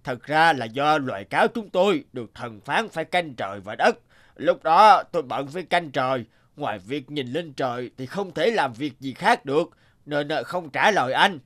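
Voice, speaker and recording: A man's voice: on the high side, animated, moderate pace, a bit bright. A man voicing a sly, greedy, lazy fox in a children's cartoon, wheedling and hemming as he talks. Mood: sheepish, apologetic. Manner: wheedling, hesitant with many hems.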